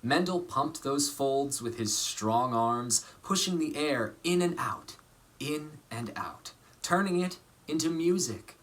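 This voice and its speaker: Male storybook reader, bright forward tone, very animated melody, theatrical emphasis. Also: medium pitch, takes it slowly. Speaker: actor reading a children's storybook aloud. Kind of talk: expressive storybook narration.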